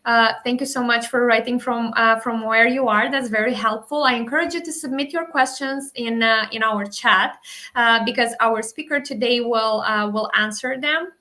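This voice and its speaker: A woman's voice: fairly high pitch, normal speed, pushed and bright. A woman working as an education advisor, hosting an online webinar in a friendly way with frequent hesitant "uh" fillers, speaking into a computer mic on a video call.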